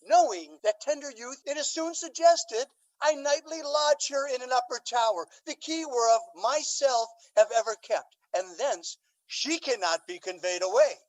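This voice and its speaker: A man playing an older Duke in a Shakespearean radio drama, halting and stately. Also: extremely high pitch, low-quality recording. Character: hesitant, courtly older male Duke.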